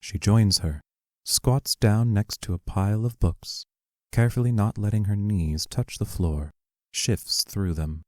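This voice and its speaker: A man with a slightly low voice narrates an audio play, reading out the stage directions. The sound is very dry and the delivery is scripted. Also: a little slow, a little mellow, no echo.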